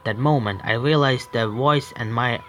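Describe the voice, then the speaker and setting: Stumbling gamer reading a visual novel. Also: male voice, mid-range voice, a bit bright, a little hiss or hum. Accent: Indian English. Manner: halting, stumbling read with restarts. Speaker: gaming streamer.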